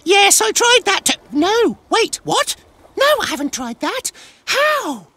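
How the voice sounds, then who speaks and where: A woman's voice: very high-pitched, big pitch swings, slow pace, fairly bright tone, exaggerated voice. A woman voicing an anxious, flustered little sailboat in a children's cartoon, with a fretful, pleading way of talking. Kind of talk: animated children's cartoon acting.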